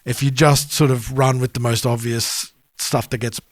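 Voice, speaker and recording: A man's voice: medium pitch, little pitch movement, moderate pace, dry recording, studio quality. An Australian man co-hosting a music podcast, chatting casually in a laid-back, matey way. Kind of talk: casual chat.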